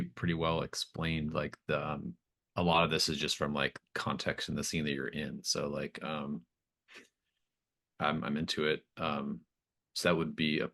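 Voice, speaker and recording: A man acting as game master, speaking casually and haltingly as he checks in with his players. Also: rumbling low, level tone, a bit bright. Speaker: game master of a tabletop RPG. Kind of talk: casual, unscripted game running.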